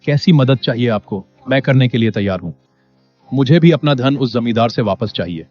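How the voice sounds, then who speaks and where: A man playing an honest, poor villager, earnest and polite, in an animated story. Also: medium pitch, moderate pace, lightly acted. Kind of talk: animated Hindi moral story acting. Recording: dull lo-fi audio with music behind.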